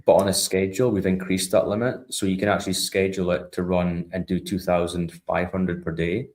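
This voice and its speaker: A man's voice: deep voice, little pitch movement, fairly quick, darkish tone. A man in a conversational product walkthrough as an interview guest, explaining things informally and checking in with small tag questions.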